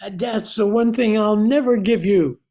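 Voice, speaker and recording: A man playing a desperate, menacing blocked novelist in an amateur radio play, pleading haltingly. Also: sits high, dark tone. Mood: cold menace.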